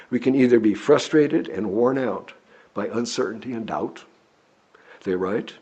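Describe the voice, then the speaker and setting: An American man reading a sermon from a script, speaking in measured, deliberate phrases with emphatic pauses. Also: mid-range voice, dark tone, slightly rough, muffled recording.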